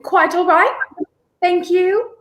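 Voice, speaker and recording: A woman's voice: very high-pitched, steady tone, slow pace, fairly bright tone, heavily acted, low-quality recording. A young woman playing a headstrong, indignant lady in a 1930s radio play. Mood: defiant. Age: young adult.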